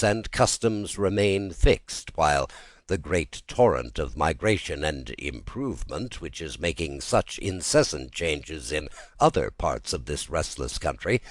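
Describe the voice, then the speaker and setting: A man narrating a classic literary story in a measured, dramatic audiobook style. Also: very deep voice, no room sound.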